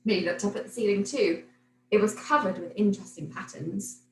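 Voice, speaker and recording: A woman reading a children's picture book aloud like a teacher, in an expressive, animated storytelling manner. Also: mid-range voice, fairly quick. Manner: animated, clearly paced storytelling for young children. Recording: roomy echo, noticeable background noise.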